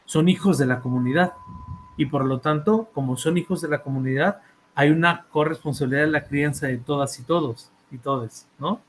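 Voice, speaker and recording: A man's voice: a little high, moderate pace, a little hiss or hum, poor audio. A man with a Mexican accent presenting his book in an academic talk, hesitating often with fillers and repeated words and checking in with his listeners. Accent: Mexican Spanish. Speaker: author and therapist presenting a book.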